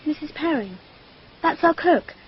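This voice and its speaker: A high, lilting girlish voice in a dull radio play recording. The British schoolgirl is precocious and dreamy, speaking with earnest, childlike candour. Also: animated, measured pace, slight background noise. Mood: earnest, secretive. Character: precocious, dreamy, matter-of-fact young schoolgirl. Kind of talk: radio drama acting.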